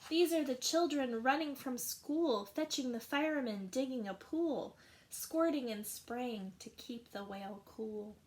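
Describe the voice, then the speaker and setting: A woman teacher reading a rhyming picture book aloud with slow, expressive narration. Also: high voice, low-quality recording. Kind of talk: storybook read-aloud.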